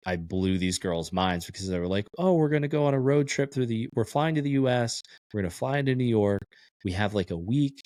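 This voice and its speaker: Deep-voiced guy from texas, chatty podcast co-host, dry close mic. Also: no room sound. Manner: animated storyteller, acts out quoted exchanges. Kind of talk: casual chat.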